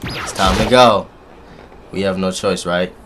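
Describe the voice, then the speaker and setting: A man with a deep voice doing animated, joking let's play commentary and talking straight to his audience. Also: unhurried, music in the background. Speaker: gaming YouTuber.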